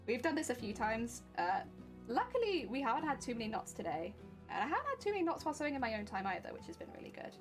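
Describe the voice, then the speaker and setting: A woman's voice: a little high, big pitch swings, quick pace, music in the background. A woman chatting casually on a livestream, explaining her sewing steps as she works and laughing often. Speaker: cosplay crafting streamer.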